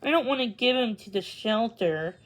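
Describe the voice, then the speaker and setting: A woman voicing a kindly, worried aunt in a children's storybook, gentle and sincere. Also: a little high, expressive, moderate pace, rough recording. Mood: resigned. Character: kindly, worried aunt who loves dogs.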